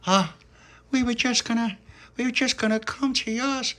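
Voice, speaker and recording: A storyteller voicing an eager, sweet little girl who excitedly repeats her words. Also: medium pitch, moderate pace, voice pushed up, natural voice. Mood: eager, flustered.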